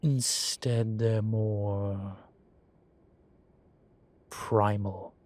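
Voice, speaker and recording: Slow, low-voiced urbane demon professor, played by a man. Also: flat delivery, a little mellow. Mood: thoughtful, confiding. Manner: measured, formal, deliberate pauses, genteel. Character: urbane male demon professor, erudite, wryly amused, unhurried. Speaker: professor lecturing a class. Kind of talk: scripted audio roleplay, lecture and conversation.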